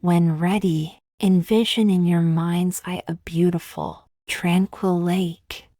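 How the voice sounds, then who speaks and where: Female meditation guide: lowish voice, very unhurried, calm delivery, close dry sound. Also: dry recording. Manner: calm, unhurried, gently measured with long pauses. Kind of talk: guided meditation, scripted soothing narration.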